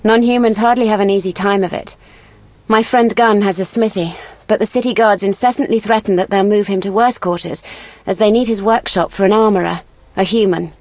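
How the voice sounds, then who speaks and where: A woman's voice: mid-range voice, steady tone, a little fast, a little mellow, dry recording, lightly acted. Woman acting a proud, grave elf huntress. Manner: formal, grave. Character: proud, serious elven woman, a wounded monster hunter. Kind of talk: video game dialogue.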